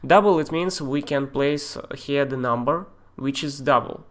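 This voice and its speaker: A man with a Russian accent walks viewers through software on a live stream. He talks in a halting way, with fillers and loose non-native grammar, and his pitch sits in an ordinary middle range. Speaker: software tutor. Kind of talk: live-streamed screen tutorial.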